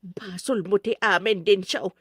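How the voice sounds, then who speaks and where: A woman's voice: mid-range voice, fairly even intonation, normal speed, husky, no room sound, studio quality, close to an everyday voice. An actress with a Filipino accent playing a worried older mother in a radio drama, lamenting and reproachful. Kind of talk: melodramatic radio drama acting.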